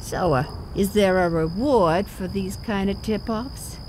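A woman's voice: mid-range voice, a little slow, a little mellow, a little hiss or hum, natural voice, poor audio. An actress playing a feisty, embittered elderly village woman in an audio drama, blunt and folksy. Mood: indignant, gossipy.

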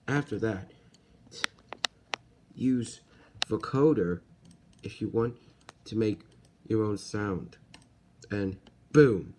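A man giving a casual software tutorial step by step, haltingly and correcting himself as he goes. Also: on the low side. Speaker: tutorial host. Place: indoors at a computer. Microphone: handheld camera or phone filming the screen. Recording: noisy handheld recording with computer clicks.

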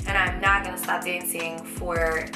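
A young woman in her twenties, chatty and animated, answering viewer questions in a casual vlog from a small bedroom. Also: fairly low pitch, moderate pace, reverberant, over music. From United States. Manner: chatty, animated, lots of fillers and restarts. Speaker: vlogger. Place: small bedroom with shelves, indoors.

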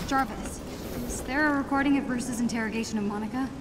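A woman's voice: on the high side, fast talker, background noise, natural voice. A woman playing an earnest, determined teenage girl superhero in a video game. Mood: curious, determined. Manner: earnest, youthful, informal. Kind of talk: cinematic video game acting.

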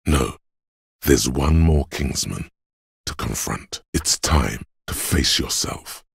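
A man voicing a brooding, grandiose inner voice of the body in a video game, sardonic and ominous, with a husky rasp. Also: animated, slow pace, dry recording, studio quality, heavily acted. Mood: solemn, ominous. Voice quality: very deep, gravelly, husky rasp. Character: gravelly inner voice of a man's bodily endurance, brooding, grandiose.

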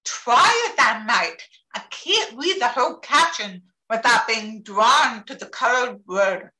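A woman with a deaf accent presents over a video call, her voice bright and her pitch rising and falling a lot. She speaks carefully, and the room around her sounds echoey. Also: animated, takes it slowly. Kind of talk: live talk on captioning. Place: home office with bookshelves.

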